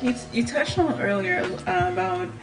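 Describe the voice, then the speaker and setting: A woman's voice: very high-pitched, animated, moderate pace, low-quality recording. A woman hosting a radio interview, asking questions and giving frequent short affirmations. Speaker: radio host. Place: radio studio. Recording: radio studio with music behind.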